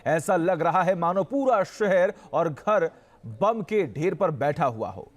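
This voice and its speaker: A man's voice: high-pitched, animated, slow. A man hosting a news show, reading scripted narration in an emphatic, sensational, dramatic way. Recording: music behind the voice. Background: dramatic background music.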